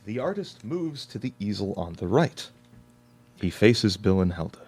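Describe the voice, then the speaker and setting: An actor as narrator of a live radio play, setting the scene in a measured, emphatic way. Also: male voice, on the low side, very animated, a little mellow, slightly rough. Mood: dramatic.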